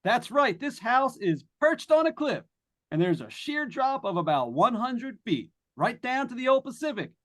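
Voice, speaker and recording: A breezy radio ghost hunter, acted by a man in a 1940s-style radio play. The voice sits high and moves in lively swings. He addresses listeners like a folksy showman, always ready with a quip. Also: normal speed, exaggerated voice. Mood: showy, upbeat. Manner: chatty, folksy showman delivery. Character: breezy, joking male radio host hunting ghosts. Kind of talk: 1940s live radio drama acting.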